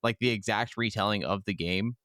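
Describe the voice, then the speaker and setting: A man's voice: on the low side, brisk, fairly bright tone, no echo, clean studio sound. A man co-hosting a podcast, chatting casually in slangy, sweary speech. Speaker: podcast co-host. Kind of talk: casual chat.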